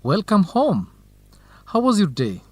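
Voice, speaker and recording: An earnest, animated young student. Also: male voice, high-pitched, slow pace, no room sound, exaggerated voice. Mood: warm, casual. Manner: earnest, anxious, principled. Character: earnest, lovestruck, cautious young male student research assistant. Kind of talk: educational radio drama acting.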